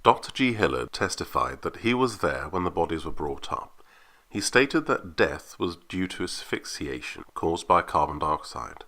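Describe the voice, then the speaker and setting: Very deep, bright-voiced man narrating. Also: sing-song, moderate pace. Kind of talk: scripted reading of a historical inquest report.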